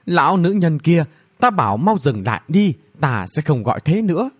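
A man's voice: a little high, sing-song, moderate pace, husky, highs cut off, voice pushed up. A man voicing a bratty young princess in a fantasy audiobook, whiny and scolding, with exclamatory outbursts. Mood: annoyed.

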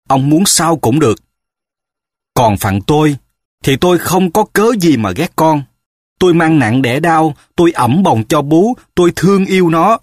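A man's voice: mid-range voice, slightly rough, no room sound, studio quality. A man with a Southern Vietnamese accent voicing a grieving middle-aged mother who bitterly reproaches her husband in raised, pleading outbursts.

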